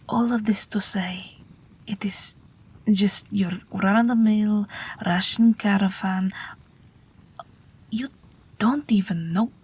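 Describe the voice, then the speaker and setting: A woman's voice: medium pitch, heavily acted. A woman voicing an ageless Russian night witch pilot, wry, tender and weary, in an intimate, whispery audio roleplay. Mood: dry, coaxing. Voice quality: breathy, whispery. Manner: gentle, dryly wry, unhurried.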